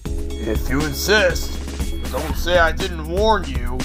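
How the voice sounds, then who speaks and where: A cautious old-fashioned storybook crane played by a narrator, weary and nagging. The talk is slow but swings up and down, over clear music. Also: medium pitch, expressive. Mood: resigned. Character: grumbling, cautious talking dockside crane, male.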